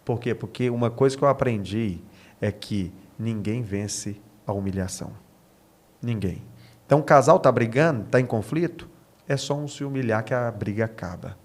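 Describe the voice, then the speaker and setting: A man's voice: medium pitch, moderate pace, darkish tone. A man with a Brazilian accent, a pastor speaking as a guest in a conversational preaching style, repeating phrases for emphasis.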